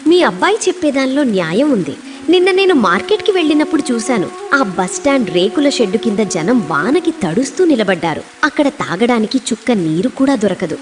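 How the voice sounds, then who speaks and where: A woman's voice: medium pitch, lightly acted. A woman playing a supportive, respectful young village wife and daughter-in-law in a moral story. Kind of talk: acted dialogue in a moral story.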